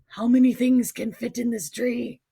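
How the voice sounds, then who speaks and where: A woman's voice: a little high, fairly even intonation, moderate pace, gravelly, mellow, husky. A woman storyteller reading a picture book aloud to children in a playful, exclaiming manner, with dramatic emphasis and asides to young viewers. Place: recorded on a livestream.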